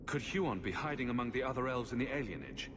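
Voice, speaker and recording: An actor playing a bold, defiant champion adventurer in a video game, direct and dry. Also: male voice, medium pitch, lively intonation, quick pace, noisy background, natural voice. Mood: questioning.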